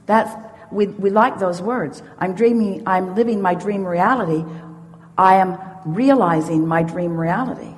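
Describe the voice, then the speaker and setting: Long hall reverb surrounds a woman with a husky, breathy edge to her mid-pitched voice. She speaks as a spiritual teacher in an emphatic, deliberate style, building motivational points through repeated phrases. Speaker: spiritual teacher answering audience questions.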